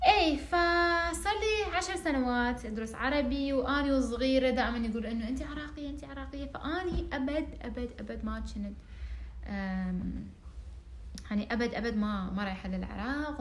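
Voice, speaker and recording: A young woman in her twenties from Iran, Persian-speaking from birth, chatting casually to camera in a small room with lively hand gestures. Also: fairly high pitch, fast talker, reverberant, a little hiss or hum. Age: 20s. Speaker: vlogger. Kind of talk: casual vlog chat to camera. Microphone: phone camera at arm's length.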